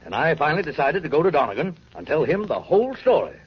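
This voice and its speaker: Lively, smug little politician. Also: male voice, fairly high pitch, fast, slightly rough. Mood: smug, chatty. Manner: fussy, ingratiating, chattering. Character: smug, glib, cowardly male small-time politician. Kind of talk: 1940s radio drama acting. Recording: dull old radio recording, hiss.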